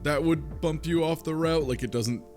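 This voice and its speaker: A man's voice: on the high side, moderate pace, darkish tone. Casual man chatting over background music. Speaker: podcast panelist. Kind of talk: casual group discussion of a game.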